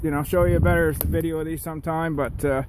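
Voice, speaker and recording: A man's voice: fairly even intonation, fast talker. A middle-aged man, talking casually and ramblingly to his viewers in a folksy, conversational way. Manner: folksy, conversational, keeps addressing viewers as guys. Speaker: outdoors vlogger. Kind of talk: casual rambling vlog to camera. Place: outdoors in rural woodland. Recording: noisy outdoor recording with ambient rumble.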